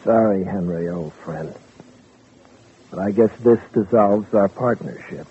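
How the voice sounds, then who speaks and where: A man's voice: lowish voice, measured pace, natural voice. An actor playing a cold, callous man dismissing his mistress, curt in 1940s radio drama style. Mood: coldly sardonic. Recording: muffled old radio recording, faint hiss.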